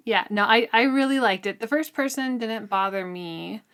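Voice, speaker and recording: A woman's voice: on the high side, a little slow, a bit bright, smooth. A woman co-hosting a podcast, giving thoughtful, hedged opinions in a casual book discussion. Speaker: co-host of a book read-along podcast.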